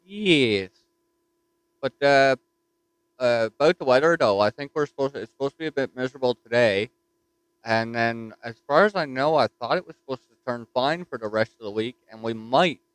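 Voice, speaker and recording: A man's voice: mid-range voice, moderate pace, clean tone, poor audio. Easygoing Newfoundland man on the radio. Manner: easygoing, says "roger that". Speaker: ham radio operator. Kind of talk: casual radio chat. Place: home radio station.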